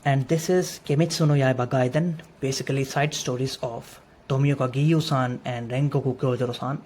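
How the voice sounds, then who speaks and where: A man whose first language is not English, casually showing his manga collection in an unhurried, friendly way and dropping Japanese terms into his English. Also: medium pitch, steady tone, darkish tone. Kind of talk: casual show-and-tell overview. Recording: faint noisy room ambience. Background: faint background noise.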